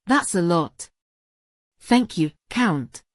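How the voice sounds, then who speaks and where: Woman acting, polite female character, lowish pitch, dry sound, composed, audio play. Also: expressive, very slow, no room sound. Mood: calm, polite.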